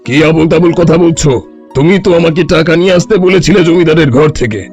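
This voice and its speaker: A man's voice: a little high, brisk, a little mellow, natural voice. A man playing a sly, fraudulent village holy man in a cartoon, pompous and scheming. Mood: indignant. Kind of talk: cartoon story dialogue. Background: music.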